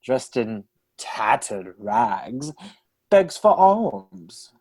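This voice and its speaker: An actor voicing the ghost of an author who narrates a radio play in a measured, theatrical storytelling manner. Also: mid-range voice, big pitch swings, dark tone, slight background noise. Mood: genial.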